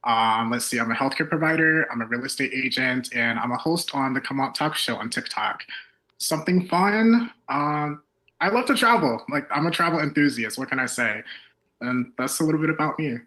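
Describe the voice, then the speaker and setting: Bright-voiced young American man. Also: mid-range voice, moderate pace, poor audio. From Michigan, United States. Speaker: contestant, talk show host. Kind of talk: casual self-introduction.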